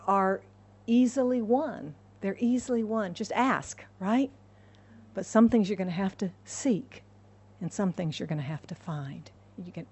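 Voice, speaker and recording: A woman's voice: medium pitch, dark tone. A woman from the United States preaching a sermon, emphatic and repeating her key phrases for the congregation. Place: church in Hong Kong.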